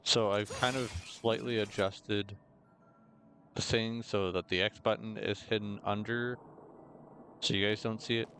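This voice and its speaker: Slightly hoarse man, low voice. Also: flat delivery, measured pace, fairly bright tone, a little hiss or hum. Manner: rambling, self-correcting, with many fillers. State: rough throat. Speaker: gaming video host. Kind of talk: casual gameplay commentary. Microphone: desk mic with noise gate.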